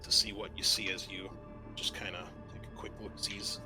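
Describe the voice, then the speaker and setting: A man running a tabletop game, describing scenes in a halting, conversational way. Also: low-pitched, little pitch movement, music in the background. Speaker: game master. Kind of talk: improvised tabletop game narration.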